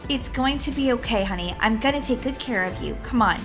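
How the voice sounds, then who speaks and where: A woman playing a brisk, kindly female paramedic in a children's skit, reassuring and a little bossy. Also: a little high, animated, a bit bright, faint music behind, voice pushed down. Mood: soothing, brisk. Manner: soothing, bossy, calls patients honey. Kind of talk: playful skit role-play for children.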